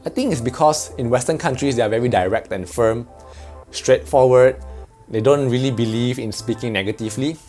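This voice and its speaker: A man's voice: mid-range voice, brisk, a little mellow, soft background music. A man from Malaysia with a Malaysian English accent, explaining things in a chatty, joking vlog. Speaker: vlogger.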